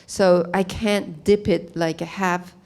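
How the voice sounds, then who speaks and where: Halting, soft-voiced woman artist. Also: lowish voice, fairly even intonation. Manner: halting, plain non-native English with many "you know" fillers. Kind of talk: gallery talk about her art. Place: gallery, speaking to an audience.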